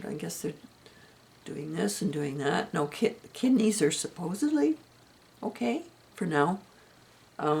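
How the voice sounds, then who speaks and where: A woman in her seventies giving a chatty, rambling health update from home, her voice going hoarse. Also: low-pitched. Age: 70s. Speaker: vlogger. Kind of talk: casual personal health update.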